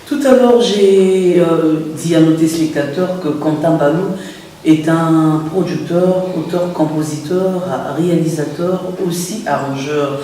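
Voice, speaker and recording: A man's voice: on the high side, unhurried, warm tone, a little hiss or hum. Male TV host in an echoey room. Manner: formal questioning with fillers. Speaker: TV show host. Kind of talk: interview questions. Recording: echoey room, far from the mic.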